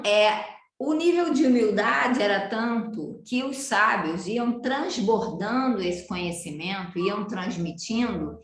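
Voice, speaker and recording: A Brazilian yoga teacher giving a live online lecture, animated and often putting questions to her students. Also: medium pitch, slight background noise. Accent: Brazilian Portuguese. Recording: roomy echo.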